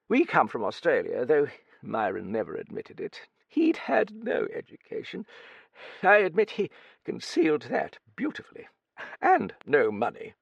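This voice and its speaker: A man's voice: a little high, gravelly, husky, dry recording, dull audio, voice pushed up, full character voice. A man reading an audiobook, voicing a blunt, hearty old woman who swears freely and talks with cheeky bluster. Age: older.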